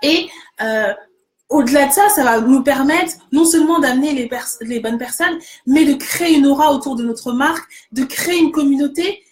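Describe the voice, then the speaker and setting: A woman's voice: high voice, normal speed. A woman hosting a casual conversation, agreeing enthusiastically and explaining with many fillers. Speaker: host.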